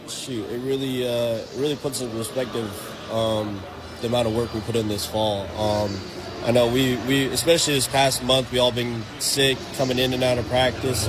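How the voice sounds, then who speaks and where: A young male college athlete gives casual interview answers in a mid-range voice. The recording has clear on-site background noise. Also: medium pitch, flat delivery, normal speed. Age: young adult. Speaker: college baseball pitcher interviewed.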